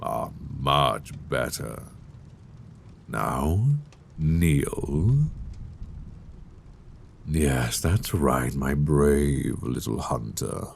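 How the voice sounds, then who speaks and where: A man's voice: lively intonation, very slow, pauses often, slight background noise, exaggerated voice. A man playing a sadistic, seductive vampire master in an intimate audio roleplay, silky, commanding and mock-tender, close on a binaural microphone. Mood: smug, commanding. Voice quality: gravelly, very deep.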